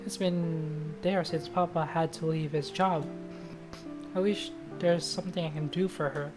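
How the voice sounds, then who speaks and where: Low-voiced woman streamer reading aloud. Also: steady tone, measured pace. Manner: casual, sweary. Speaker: game streamer. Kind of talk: reading a visual novel aloud with casual asides. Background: loud guitar music.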